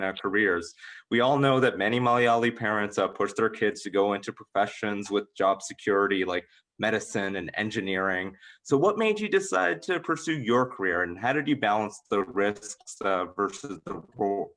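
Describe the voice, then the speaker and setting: A man's voice: fairly low pitch, normal speed, fairly bright tone. An American man moderating a panel discussion, introducing topics and putting questions to panelists. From United States, Georgia.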